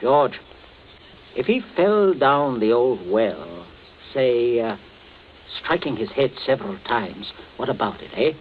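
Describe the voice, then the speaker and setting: A man's voice: mid-range voice, sing-song, slow pace. An actor playing a domineering, anxious middle-aged father in a radio drama, stern and pompous, giving clipped orders. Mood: stern, controlled. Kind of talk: 1940s radio drama acting. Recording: muffled, noisy vintage radio recording.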